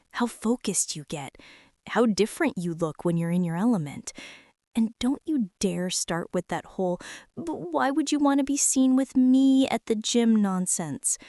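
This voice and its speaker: A woman's voice: on the high side, no echo, studio quality. A young woman playing a devoted, earnest college girlfriend in an intimate, reassuring ASMR roleplay, speaking straight to the listener. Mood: tender, insistent, then defiant, affectionate. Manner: soft, intimate, reassuring, direct address to listener. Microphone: close, dry microphone.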